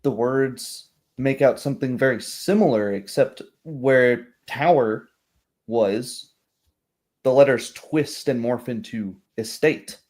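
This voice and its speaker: A man's voice: medium pitch, slow pace, a little mellow. A man working as game master, describing scenes in improvised tabletop roleplay narration.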